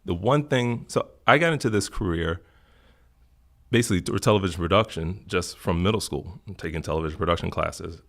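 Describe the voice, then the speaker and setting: A middle-aged man from Florida talks in a relaxed interview, his low voice gravelly with fry. He speaks earnestly and thoughtfully, repeating phrases to stress a point. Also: brisk. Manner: earnest, reflective, emphatic repetition. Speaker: podcast guest. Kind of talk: casual interview talk.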